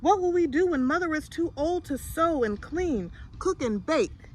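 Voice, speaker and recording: A woman's voice: high voice, animated, unhurried, darkish tone, a little hiss or hum, voice pushed up. A woman voicing an earnest, pleading young boy who is eager to help, in a storybook. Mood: frustrated.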